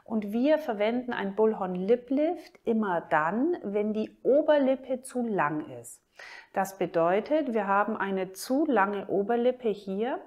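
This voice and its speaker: A woman plastic surgeon with a German accent, calmly explaining a cosmetic procedure to the camera. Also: medium pitch, highs cut off. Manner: calm, measured and informative, points while explaining.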